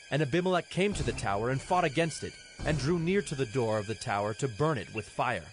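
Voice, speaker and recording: A man narrating scripture from a script in a measured, solemn manner. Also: medium pitch.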